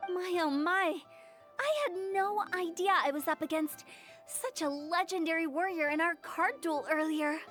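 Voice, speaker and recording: A woman's voice: extremely high pitch, normal speed. A woman voicing a quirky, mischievous teenage girl in an anime game, bragging and telling things with playful drama. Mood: cheerful. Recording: soft game music behind.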